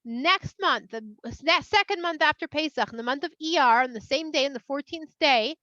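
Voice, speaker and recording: A woman voicing God as a calm, fair-minded judge who explains patiently. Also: high voice, moderate pace, no room sound, muffled recording. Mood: reassuring.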